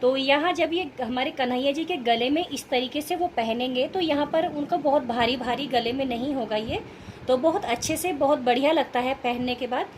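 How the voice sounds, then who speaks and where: A woman's voice: high-pitched, normal speed, a little hiss or hum. A woman with a sore throat, explaining craft steps in a casual, instructional tutorial style. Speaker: host of a craft tutorial channel. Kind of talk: casual step-by-step sewing tutorial narration.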